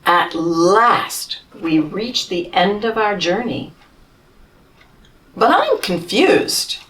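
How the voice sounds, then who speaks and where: A woman reading a children's storybook in the voice of a humble, tired male camel who tells his own tale slowly and tenderly. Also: medium pitch, distant mic, full character voice, low-quality recording. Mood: weary. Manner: slow, tender storybook telling with lively rises.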